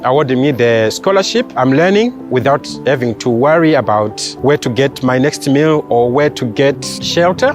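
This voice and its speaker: A man from Zimbabwe, a student giving a heartfelt personal testimony. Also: mid-range voice. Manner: earnest, heartfelt. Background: soft music bed.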